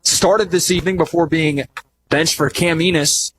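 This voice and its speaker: A man doing live radio play-by-play of a football game, descriptive and conversational, getting animated on big plays. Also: a little high, moderate pace, low-quality recording. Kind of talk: live radio sports commentary.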